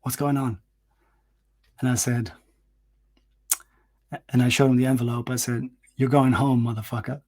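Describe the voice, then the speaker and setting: A man's voice: mid-range voice, normal speed, pauses often. Rambling man in his forties telling a story. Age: 40s. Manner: rambling, profane, animated, with frequent restarts and fillers. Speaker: vlog host telling a personal story. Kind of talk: casual storytelling monologue.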